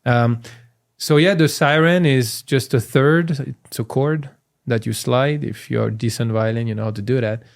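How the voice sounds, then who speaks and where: A man's voice: mid-range voice, normal speed, gravelly. A man with a French accent chats casually as a podcast guest. He tells stories with energy and acts out the voices of people he quotes. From France. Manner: animated storyteller, acts out quotes. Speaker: comedian guest.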